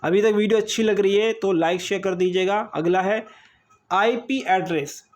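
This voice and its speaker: A man's voice: high-pitched, moderate pace. A man teaching an online exam-prep quiz lesson, asking each question and repeating the answer, with frequent "okay".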